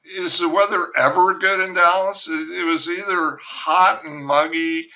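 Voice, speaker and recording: Rambling older man with a gravelly voice. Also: high-pitched, fairly even intonation, unhurried, pushed and bright. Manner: rambling, self-interrupting storyteller. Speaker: author and guest. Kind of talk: casual interview chat.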